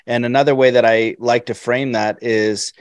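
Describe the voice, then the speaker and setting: A man's voice: mid-range voice, steady tone, moderate pace, smooth. A man hosting a podcast, affirming his guest and asking long, rambling questions. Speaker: podcast host. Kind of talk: casual podcast interview.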